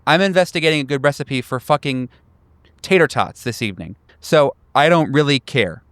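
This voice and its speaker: A man's voice: mid-range voice, expressive, normal speed, a bit bright, dry recording, voice pushed up, natural voice. A man voicing an irritated, sarcastic woman in her late forties, curt and combative, in a tabletop game. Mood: sarcastic, defiant. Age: middle-aged.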